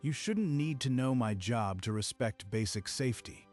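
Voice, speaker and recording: An actor playing a principled, unyielding safety consultant in a manga dub, measured and firm. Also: male voice, medium pitch, expressive, soft background music, natural voice. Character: grave, principled man, senior wildfire prevention consultant.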